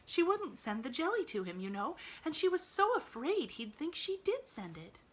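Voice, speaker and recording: A woman's voice: high-pitched, very animated, moderate pace, pitched above the performer's own voice. A woman voicing a cheerful, earnest little girl who talks eagerly.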